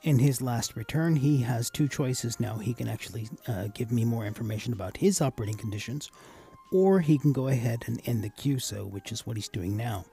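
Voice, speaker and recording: A man with a gravelly, husky voice giving a casual, explanatory tutorial voice-over as the host of a hobby channel. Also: medium pitch, a little fast, mellow, soft background music. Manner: casual, addresses viewers as guys.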